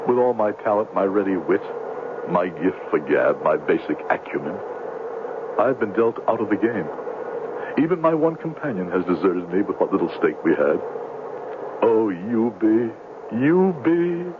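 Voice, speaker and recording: A florid swindler from a 1970s radio drama, low in pitch and sweeping grandly up and down. He is theatrical and pompous, a fallen huckster posing as a doctor. The sound is tinny. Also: very animated, moderate pace, background noise. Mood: mournful. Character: grandiloquent, down-on-his-luck male con man posing as doctor.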